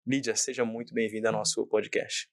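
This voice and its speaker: A man's voice: on the low side, little pitch movement, fairly quick, no echo, clean studio sound. A man hosting an interview podcast, enthusiastic and effusive as he introduces his guest. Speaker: podcast host.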